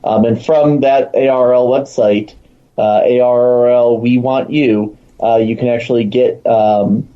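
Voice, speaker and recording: A man's voice: medium pitch, fairly even intonation, unhurried. A man speaking as a guest in casual, promotional talk about a program he helps run, over lo-fi call audio. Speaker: show guest, program organizer.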